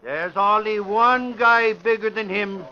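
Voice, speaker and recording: Excitable high-pitched newspaper sidekick. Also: male voice, takes it slowly, full character voice. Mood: excited, triumphant. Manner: excited, emphatic blurting. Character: excitable, boisterous male newspaper sidekick bringing big news. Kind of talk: 1950s radio drama acting. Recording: dull old radio broadcast recording.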